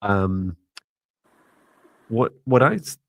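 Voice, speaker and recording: A man with a deep, steady voice that stays in one even tone, giving a slow, meditative psychic reading on a livestream with many fillers. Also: dry recording. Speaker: psychic mentor livestream host.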